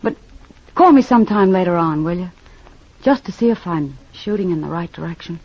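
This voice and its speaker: Cool, thoughtful noir client. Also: female voice, on the low side, expressive, fairly quick, a little mellow. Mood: subdued, thoughtful. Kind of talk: 1940s-50s radio noir acting. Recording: muffled, faintly noisy old radio recording.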